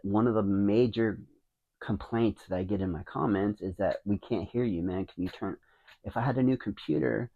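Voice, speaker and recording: Quiet, mumbling man in his fifties. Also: lowish voice, narrow, tinny audio. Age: 50s. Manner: rambling, with many restarts. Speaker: vlogger. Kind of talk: casual talking to camera. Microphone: wireless mic into a phone.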